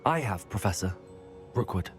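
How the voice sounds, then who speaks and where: An earnest young wizard student, voiced by a man, answers politely and plainly. His voice sits a little low, with faint game music behind it. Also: expressive, moderate pace, lightly acted. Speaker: player character. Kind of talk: game cutscene acting.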